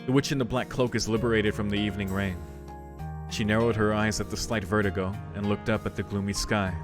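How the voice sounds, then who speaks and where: A man's voice: fairly low pitch, fairly even intonation, fairly quick. A man doing a casual, reflective let's play of a visual novel, commenting and reading the text aloud. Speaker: streamer playing a visual novel. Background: game music.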